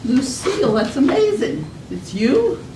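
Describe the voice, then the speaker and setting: A woman playing a polite schoolteacher with drawn-out, falsely sweet manners in an amateur comedy skit. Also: high-pitched, very animated, background noise. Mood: sweetly polite. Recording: roomy, distant live stage recording.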